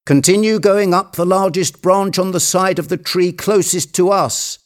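A man voicing an excited, bossy, obsessive character who gives urgent orders in an agitated storybook tale. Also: high-pitched, normal speed, voice pushed up, close to an everyday voice. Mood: commanding. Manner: imperious, agitated, exclaiming.

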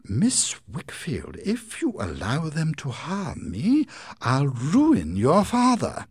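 A man voicing a scheming, cold male clerk in a storybook reading, talking in a slow, drawn-out, menacing way. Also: fairly high pitch, wildly swooping pitch, a little mellow, slightly rough, dry recording, pitched above the performer's own voice, exaggerated voice.

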